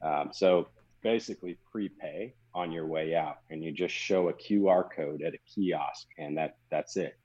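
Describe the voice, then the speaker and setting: A man who grew up in Europe, a guest executive talking casually in a podcast interview, fast and conversational with frequent restarts. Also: extremely low pitch, a little mellow, dull audio.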